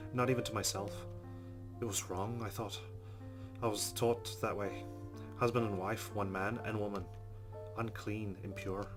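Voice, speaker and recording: A man hosting a game playthrough, reading narration aloud in a subdued way with swearing asides. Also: fairly low pitch. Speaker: let's play host. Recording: guitar music behind.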